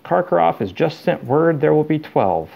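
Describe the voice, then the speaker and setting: A man's voice: on the high side, big pitch swings, normal speed, gravelly, lo-fi sound, pitched above the performer's own voice, exaggerated voice. A man voicing a deluded older official who fluently and officiously gabbles orders to nobody. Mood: brisk, self-satisfied.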